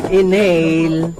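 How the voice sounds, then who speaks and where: An actress playing a gentle, devout mother, soothing and coaxing, in a Filipino radio drama. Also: fairly low pitch. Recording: loud background noise.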